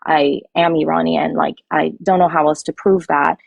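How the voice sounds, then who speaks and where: A woman's voice: low-pitched, steady tone, normal speed, gravelly, dry recording, lo-fi sound. An American woman on a live stream call, earnestly and insistently defending herself, often restarting her sentences. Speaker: guest caller. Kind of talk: heated live-stream debate.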